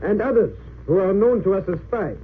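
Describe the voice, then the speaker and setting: A thin, noisy old radio recording of a German sergeant turned spy. His high voice reports in a crisp, military manner. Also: animated, a little fast, phone-quality sound. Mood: assured, accusing. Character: brisk German sergeant and smooth-lying spy witness. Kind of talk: 1930s radio drama acting.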